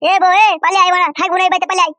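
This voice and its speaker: A man's voice: extremely high pitch, flat delivery, very fast, sharp tone, no room sound. A man voicing a defiant young nephew in a comic cartoon, crude and argumentative. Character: defiant, whiny young nephew with runaway wife.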